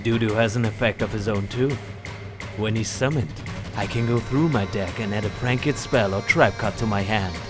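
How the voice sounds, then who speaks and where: A man's voice: fairly low pitch, normal speed, lightly acted. A man playing a determined, earnest hero duelist in a fan audio drama. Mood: focused, commanding. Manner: earnest, resolute. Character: determined, brooding male hero duelist, kind to companions. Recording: music behind the voice.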